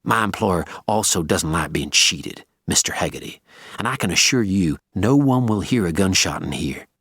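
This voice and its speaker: A man voicing an old hired gunman in an audiobook, coldly polite, menacing and deliberate in his speech. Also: sits low, animated, a bit bright, slightly rough, no room sound, clean studio sound, full character voice. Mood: cold menace. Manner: slow, deliberate, coldly courteous.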